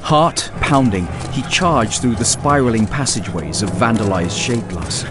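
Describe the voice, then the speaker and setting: Lowish male audiobook narrator, grave dramatic fantasy reading, music clearly behind him. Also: animated, moderate pace.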